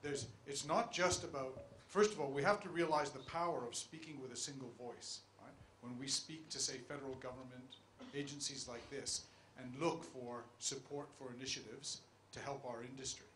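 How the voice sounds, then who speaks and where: A man's voice: mid-range voice, steady tone, moderate pace, hardly any pauses, a bit bright. A middle-aged man on a conference panel, urging the audience to get involved, on a handheld mic. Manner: urging, persuasive. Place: conference room. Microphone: handheld microphone. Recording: echoey conference room, faint hiss.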